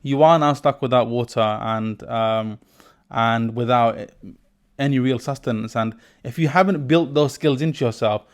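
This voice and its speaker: A man's voice: medium pitch, moderate pace. A man hosting a podcast, reading a sponsor message and then talking through his thoughts in conversation. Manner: reflective, thoughtful conversational delivery. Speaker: podcast host and musician. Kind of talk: podcast interview and sponsor read.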